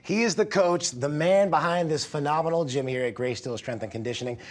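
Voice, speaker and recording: A man's voice: a little high, fairly quick. A man reporting live on location from a gym for a television news show. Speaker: field reporter. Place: inside a gym.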